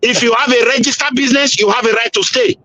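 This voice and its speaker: A man's voice: extremely high pitch, fairly even intonation, fast, over a noisy crowd. A Nigerian man with a Nigerian accent in a heated panel debate, insistent, talking over others with stammering restarts.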